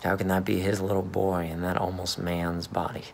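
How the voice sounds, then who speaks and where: Man acting a brooding father, gravelly voice. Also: sits low, completely flat delivery, normal speed. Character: brooding, hesitant married father, lost in wondering. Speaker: actor in a staged reading. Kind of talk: dramatic third-person monologue reading. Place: in a car, then beside a wall. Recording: car and ambient noise behind.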